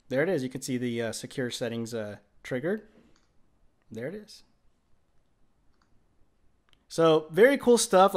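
Male tech presenter, voice a touch high, casual home demo delivery. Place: indoors in a home room.

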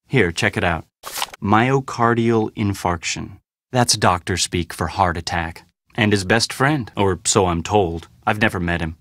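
Guarded young guy, video game dialogue, lowish voice, weary sarcasm, casual, dry studio sound. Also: a bit bright, dry recording. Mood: sardonic, guarded. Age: young adult. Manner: casual, sarcastic, slightly awkward. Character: sardonic young man, orphaned heir, weary and guarded.

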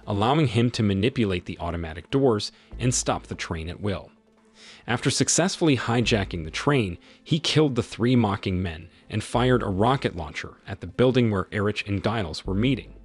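A man narrating a movie recap in a steady, even storytelling delivery, with a husky voice. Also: on the low side. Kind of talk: scripted narration.

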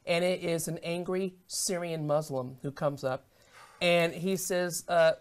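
A middle-aged American woman on a studio talk show, telling her testimony as an animated storyteller who acts out the dialogue. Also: lowish voice, measured pace. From United States. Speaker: guest minister. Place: television studio set.

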